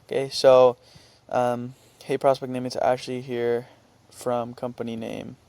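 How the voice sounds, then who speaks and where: A man presenting an informal screen-share tutorial, talking haltingly as he thinks aloud and reads out what he types. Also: mid-range voice, level tone, creaky, darkish tone, clean tone, no echo. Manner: halting, thinking aloud while typing and reading out script.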